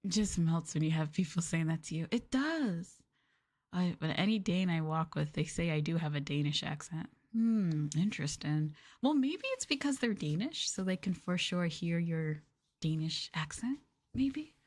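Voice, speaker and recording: A young Canadian woman streaming late-night chat into a close mic, her voice sitting a little low for a woman. She rambles in a relaxed, giggly way, full of fillers, and often makes fun of herself. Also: moderate pace. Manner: rambling, giggly, self-deprecating, lots of "like" and "um". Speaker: VTuber streamer.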